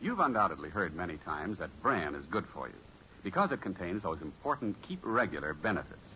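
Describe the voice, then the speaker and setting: A man's voice: low voice, big pitch swings, brisk, pushed and bright. A man announcing a 1950s radio advertisement in a polished, emphatic, persuasive way. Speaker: commercial announcer. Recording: muffled, hissy old radio recording.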